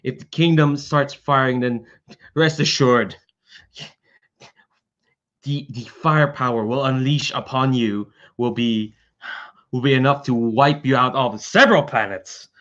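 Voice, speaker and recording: A man's voice: mid-range voice, measured pace, fairly bright tone, dull audio, lightly acted. A man voicing a frazzled, blustering military commander who stammers and repeats his words in a tabletop game. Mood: blustering threat. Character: frazzled, flustered, blustering male military commander making threats.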